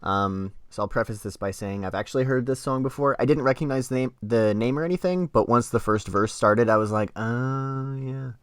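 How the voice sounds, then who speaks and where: A man's voice: mid-range voice, moderate pace, hardly any pauses, clear, resonant tone, no room sound, clean studio sound. A man co-hosting a podcast, giving casual, conversational reviews. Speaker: podcast co-host reviewing music. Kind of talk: casual chat, music review.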